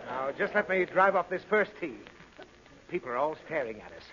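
A man's voice: fairly high pitch, very animated, a little fast. A man playing a fussy, flustered, exasperated father in a 1930s radio comedy. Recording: dull, noisy 1930s radio recording.

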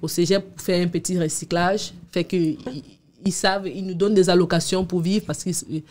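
A woman's voice: on the low side, fairly even intonation, brisk, darkish tone, a little hiss or hum. A woman in her thirties answering interview questions casually, hesitating and repeating words as she explains. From abroad, immigrant to Canada. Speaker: podcast guest. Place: small indoor podcast studio. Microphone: studio microphone on boom arm with headphones.

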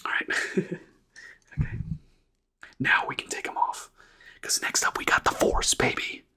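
A man's voice: a little slow, pushed and bright. A man whispering through an ASMR livestream, chatting casually and answering viewers' questions. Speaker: ASMR streamer.

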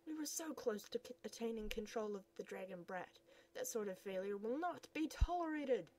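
A haughty, scheming villain barks orders at a minion, as a woman puts him on. The voice stays high and swings about in pitch. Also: animated, normal speed, darkish tone, a little hiss or hum, natural voice. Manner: haughty, commanding.

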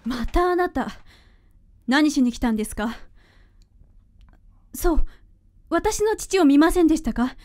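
A woman's voice: sits high, expressive, unhurried, pauses often, a little mellow. A woman playing a guarded, fragile and distraught young woman in a Japanese radio drama. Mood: wary.

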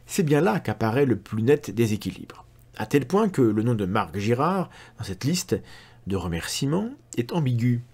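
Guy in his 40s reading out a debunk, sardonic, pitch swings all over, close mic. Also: a little mellow. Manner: sardonic, ironic asides. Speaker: science skeptic video host. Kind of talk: reading a critical analysis to camera. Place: indoor room at a desk.

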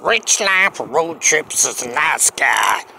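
An older man from Canada talks slowly into a phone held at arm's length on a sunny street. His voice is gravelly and bright, and his pitch rises and falls a lot. He drawls and keeps repeating his plugs. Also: sing-song, slight background noise. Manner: slow, drawling, repetitive self-promotion. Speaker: vlogger. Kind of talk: rambling selfie vlog. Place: outdoors on a sunny hillside street.

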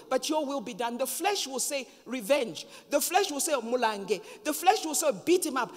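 Zambian woman pastor in her fifties, fairly high voice, slow and dramatic delivery. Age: 50s. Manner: emphatic, slow and dramatic with pauses. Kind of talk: sermon. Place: large church hall, on stage at a pulpit. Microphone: handheld microphone. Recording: long reverb of a church hall.

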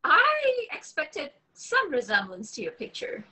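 A woman's voice: sits high, wildly swooping pitch, a little slow, sharp tone, heavily acted, rough recording. Actress doing young woman wary of her online date, natural read, video call sound. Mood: sceptical. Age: young adult. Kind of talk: script table read, natural dialogue. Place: small room at home, indoors.

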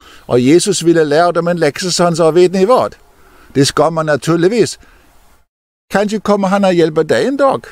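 An older man, a deacon, telling a Bible story to children outdoors in an expressive, lively way. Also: fairly high pitch, no room sound. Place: outdoors in an open field.

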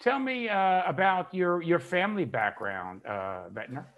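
An older man hosting an interview over a video call, asking measured questions as a publisher. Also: high voice, lively intonation. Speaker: interviewer, trade news publisher. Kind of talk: scripted introduction and interview questions. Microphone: wired earbuds headset. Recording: slightly dull video call audio.

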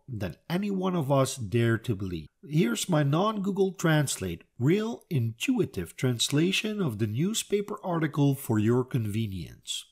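A man with a Dutch accent giving an opinionated educational commentary and reading out a translated news article. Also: slightly rough. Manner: opinionated, emphatic, lively pitch swings. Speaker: video commentator.

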